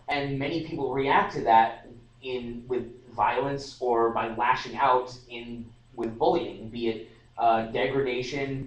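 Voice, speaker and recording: A young man talks loosely, restarting his sentences and leaning on fillers. His voice sits in the middle range and comes from some distance off the mic. The room gives it a hollow echo. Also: medium pitch. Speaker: podcast host. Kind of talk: casual discussion. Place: room at a student society meeting. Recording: roomy live stream audio, distant mic.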